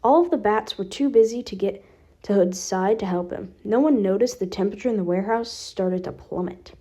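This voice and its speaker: Mellow woman reading a story aloud. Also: mid-range voice, brisk, husky. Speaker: podfic reader. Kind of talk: scripted story narration.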